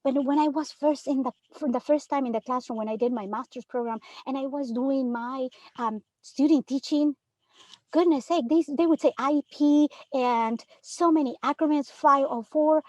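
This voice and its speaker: Woman from Peru, teacher, earnest and emphatic, fairly high voice, dry close recording. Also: normal speed, a little mellow, dry recording, dull audio. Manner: earnest, emphatic, many rhetorical "right?". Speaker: third grade teacher. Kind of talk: panel discussion.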